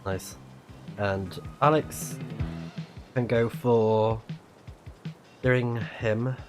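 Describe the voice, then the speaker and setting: A male game streamer with an unhurried, mid-pitched delivery. He chats casually, reads bits of text aloud and mutters short reactions over game music. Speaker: streamer playing a video game. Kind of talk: casual let's play commentary.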